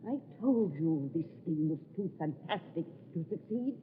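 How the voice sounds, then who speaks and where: A woman's voice: low voice, expressive, a little slow. An actress playing a sour, scornful female accomplice in an old radio drama. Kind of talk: 1940s radio acting. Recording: tinny, hissy old radio recording.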